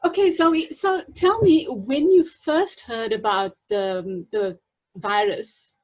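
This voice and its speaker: A woman's voice: a little high, poor audio. A middle-aged woman interviews casually as host over a video call from home. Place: home room indoors.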